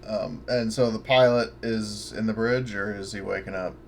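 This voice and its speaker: A man speaks casually as a player in a tabletop roleplaying game. His voice is fairly low and stays quite flat in pitch. Faint noise sits behind him. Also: normal speed, vocal fry.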